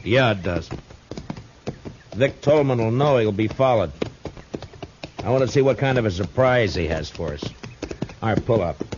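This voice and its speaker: An actor playing a stern, steady Old West lawman in a terse, commanding, unhurried radio western style. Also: lowish voice, noisy background, natural voice. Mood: steady, instructing. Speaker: lead actor and narrator. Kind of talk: 1950s radio western acting. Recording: dull old radio recording.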